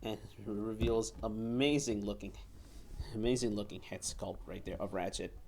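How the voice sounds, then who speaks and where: A young man giving step-by-step hands-on instructions as a toy reviewer, haltingly, often repeating and correcting himself. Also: lowish voice, steady tone, a little mellow, a little hiss or hum. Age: young adult. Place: small room at home, indoors.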